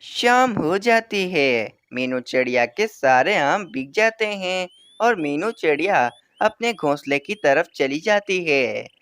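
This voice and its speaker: Low steady woman narrator. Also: slow pace, hardly any pauses, no echo. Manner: plain, steady storytelling. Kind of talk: storybook narration.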